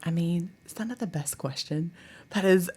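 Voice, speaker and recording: Low-voiced woman chatting enthusiastically. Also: moderate pace, dark tone, slightly rough. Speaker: guest, college theatre director. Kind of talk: casual interview chat.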